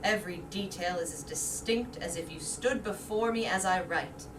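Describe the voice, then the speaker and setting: A woman acts out a vain middle-aged wife who dreads growing old. She is theatrical and emotional, heard from a distance in a roomy space with clear background noise. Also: fairly low pitch, normal speed, lightly acted. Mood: tender. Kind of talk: staged reader's theater.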